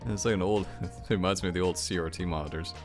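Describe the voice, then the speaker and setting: A man's voice: low voice, steady tone, quick pace, loud music behind the voice. A man giving casual, rambling reaction commentary as the host of a gaming video.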